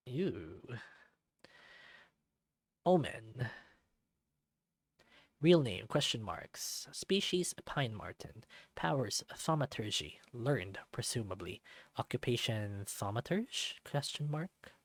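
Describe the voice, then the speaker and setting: A person reading a visual novel aloud and commenting casually, thinking out loud in a hesitant, reactive way. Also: mid-range voice, very animated, takes it slowly, long pauses, breathy edge, no echo. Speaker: let's play narrator and commentator. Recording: background music behind the voice.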